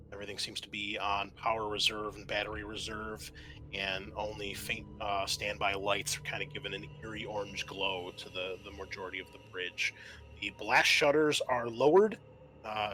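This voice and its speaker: A man's voice: on the low side, a bit bright, a little hiss or hum. A man narrating a tabletop roleplaying game as game master, mixing description with informal table talk.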